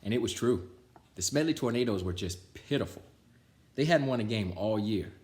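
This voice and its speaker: A man reading a children's picture book aloud with an animated, theatrical delivery. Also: on the low side, normal speed. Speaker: storytime reader. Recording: roomy, echoing sound.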